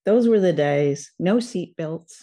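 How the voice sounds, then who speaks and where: A woman's voice: lowish voice, animated, slow, mellow. An older woman hosting a show, chatting casually about a radio drama. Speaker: podcast host.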